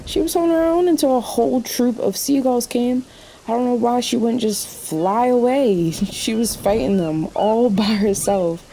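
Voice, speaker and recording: A woman's voice: on the high side, a little slow, gravelly, warm tone, slight background noise, low-quality recording. A woman playing a weary, sassy night-shift waitress in a radio play, wry and talkative. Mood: fond, reflective. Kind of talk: naturalistic radio play acting. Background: rain and thunderstorm ambience in a diner scene.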